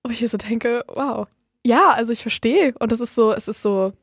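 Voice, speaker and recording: A woman's voice: fairly high pitch, normal speed, husky, dry recording, studio quality. A woman hosting a radio talk show in a casual, conversational style with somewhat hesitant phrasing. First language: German. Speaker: radio host. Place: dry radio studio.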